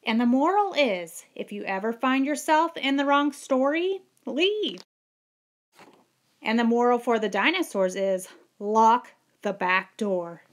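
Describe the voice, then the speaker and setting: Middle-aged woman, higher-pitched, unhurried, emphatic, expressive children's read-aloud, storyteller. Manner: measured, emphatic. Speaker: school librarian and storyteller.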